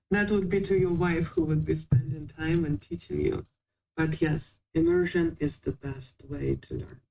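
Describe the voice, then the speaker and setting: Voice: mid-range voice, steady tone, a little slow, vocal fry, mellow, slight background noise, low-quality recording. A guest patiently explaining how to learn a language in a casual interview, with small non-native grammar slips.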